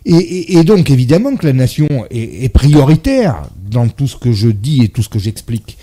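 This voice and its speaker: Husky, lively-pitched French man arguing. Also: moderate pace. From France. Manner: emphatic, argumentative, agrees readily. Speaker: debate guest. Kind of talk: casual debate chat.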